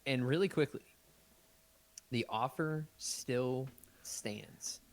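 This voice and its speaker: A man's voice: mid-range voice, slow pace. A man chatting casually as the host of a podcast. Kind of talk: casual live podcast chat.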